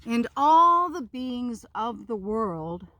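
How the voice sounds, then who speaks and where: A woman reading a children's picture book aloud outdoors, with expressive phrasing and casual asides. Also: fairly high pitch, takes it slowly, no echo, dull audio. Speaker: storyteller, pastor. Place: outdoors in a park.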